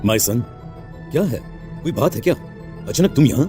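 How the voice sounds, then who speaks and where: A man's voice: medium pitch, expressive, moderate pace, over music, natural voice. Solemn, authoritative church priest played by a man. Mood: calm, paternal. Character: stern, grave church priest, fatherly authority. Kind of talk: Hindi cartoon drama voice acting.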